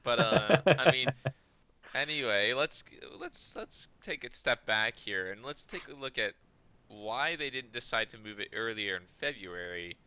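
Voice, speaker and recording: A man's voice: medium pitch, animated, unhurried, bright, forceful tone. A man co-hosting a podcast, talking casually and often restarting his sentences. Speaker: podcast co-host. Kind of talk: casual discussion.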